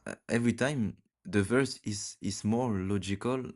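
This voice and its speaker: Man, Moroccan accent, low-pitched, hesitant debate caller. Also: a little mellow. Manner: hesitant, halting, many fillers. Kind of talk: live online debate.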